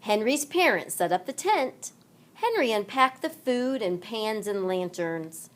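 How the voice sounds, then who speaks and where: A woman narrating a children's read-along story aloud in an expressive, deliberate manner. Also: mid-range voice. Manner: deliberate, animated delivery for children.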